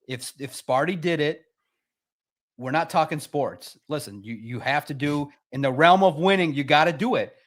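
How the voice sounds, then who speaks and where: A man's voice: fairly high pitch, normal speed. A man arguing his case as a guest on a sports talk show, needling and emphatic. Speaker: guest pundit. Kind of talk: casual sports debate.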